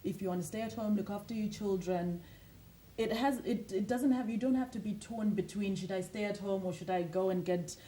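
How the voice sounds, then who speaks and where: A woman from Zimbabwe talks as a guest on a TV interview, earnest and motivational as she tells her own story. Her voice is warm and mellow. A faint hum sits in the studio behind her. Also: mid-range voice, brisk, poor audio. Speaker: author and lifestyle coach, guest.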